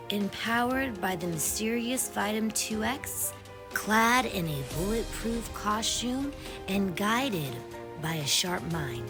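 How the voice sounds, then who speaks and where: A woman host delivering dramatic scripted narration in an ominous, theatrical, deliberate manner. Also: mid-range voice. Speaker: horror-show host.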